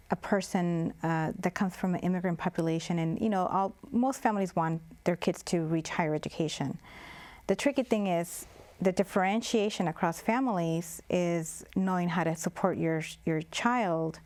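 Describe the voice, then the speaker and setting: A woman's voice: on the low side, darkish tone. A woman from Mexico, a guest on a public-access TV interview, talking in a thoughtful, hesitant way with many fillers and restarts.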